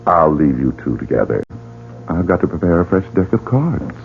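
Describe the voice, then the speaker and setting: A suave, sinister club director. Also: male voice, a bass voice, very animated, normal speed, vocal fry, noisy background, full character voice. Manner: theatrical, suave, teasing. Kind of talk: 1940s radio drama acting. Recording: phone-like old radio broadcast.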